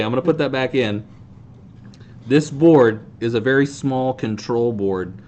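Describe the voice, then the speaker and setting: Low-voiced man, casual and deadpan. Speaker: host of a fan group's how-to video. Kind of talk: casual hands-on demonstration. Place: indoor tabletop video shoot. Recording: clear background noise.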